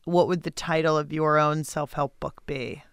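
A woman's voice: low-pitched, steady tone, normal speed, clean tone, no room sound, studio quality. A woman hosting a conversational podcast interview in a casual, reflective way. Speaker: interviewer and show host.

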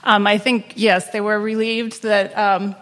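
A woman's voice: mid-range voice, little pitch movement, a little slow, a bit bright. A woman attorney on a panel, telling a client story in a plain, explanatory way. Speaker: immigration attorney. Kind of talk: panel discussion. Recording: long hall reverb.

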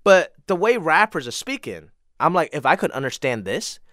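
Guy from hong kong, a comedian guest, lively stories, kinda high voice, dry mic. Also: moderate pace, no room sound. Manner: animated storyteller. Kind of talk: casual interview chat.

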